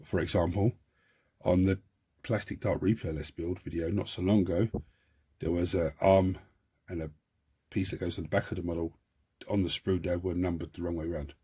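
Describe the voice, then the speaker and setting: A man hosting a model-building tutorial, talking in a chatty, rambling way with a croaky, hoarse voice and many restarts. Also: low-pitched, dark tone. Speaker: hobby channel host.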